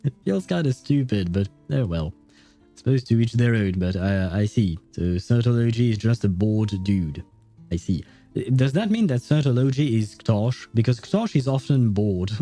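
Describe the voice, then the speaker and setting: Very dark, mellow-voiced male game streamer. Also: medium pitch, animated, normal speed. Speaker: game livestreamer. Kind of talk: casual livestream game commentary. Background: soft game music.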